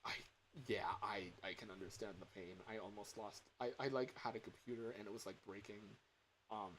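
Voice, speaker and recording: A man's voice: on the low side, steady tone, a bit bright. Young man rambling casually, faint background noise. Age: young adult. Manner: rambling, halting, self-correcting mid-sentence. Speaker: livestreamer. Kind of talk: casual chat with stream viewers.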